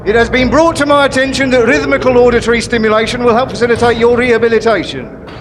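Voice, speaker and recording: A man's voice: extremely high pitch, fast talker. A man playing a pompous, contemptuous prison governor in a live stage comedy. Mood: pompous contempt. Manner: pompous, officious speechmaking. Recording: very noisy live stage recording.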